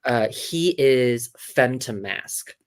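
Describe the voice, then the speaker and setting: A woman coaching voices over a video call, her voice sitting very low yet swinging widely in pitch. She talks in an animated, hands-on way, full of fillers, restarts and quick demonstrations. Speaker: voice coach guest. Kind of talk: casual interview, teaching.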